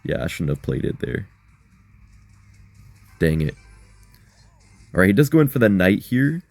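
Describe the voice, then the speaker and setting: Hoarse young man narrating a game. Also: low-pitched, measured pace, no room sound, soft background music. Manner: excitable, chatty, addresses viewers as guys. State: hoarse, losing his voice. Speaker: gaming content creator. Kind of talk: live gameplay commentary.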